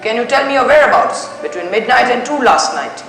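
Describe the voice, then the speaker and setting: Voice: medium pitch, animated, lightly acted. Young student playing a methodical detective on an echoey stage. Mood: questioning, formal. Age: teenager. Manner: deliberate, questioning, formal, with French courtesies. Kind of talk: school stage play acting. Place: indoor school stage. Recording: echoey school stage recording with music.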